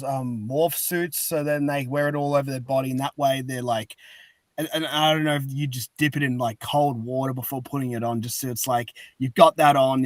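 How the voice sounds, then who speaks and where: A man's voice: medium pitch, darkish tone, dry recording. A man chatting casually as a podcast host, rambling and informal. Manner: rambling, informal, restarts thoughts. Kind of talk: casual chat.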